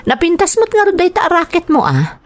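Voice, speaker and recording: A woman's voice: extremely high pitch, huge pitch swings, normal speed, pitched above the performer's own voice, exaggerated voice. A woman playing a friendly, modest married shopkeeper with an Ilocano accent, bantering amiably in an audio drama. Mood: amused. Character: friendly, modest wife minding her shop.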